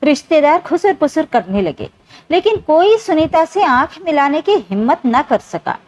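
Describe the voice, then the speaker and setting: A woman's voice: high voice, very animated, measured pace, a little mellow. A woman narrating a scripted story in an emotive, dramatic way. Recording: dull, lo-fi sound.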